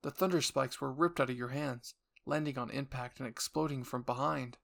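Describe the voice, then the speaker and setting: A man's voice: mid-range voice, fairly even intonation, normal speed, gravelly, fairly bright tone. A man reading scripted second-person story narration for a roleplay. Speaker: narrator and voice actor.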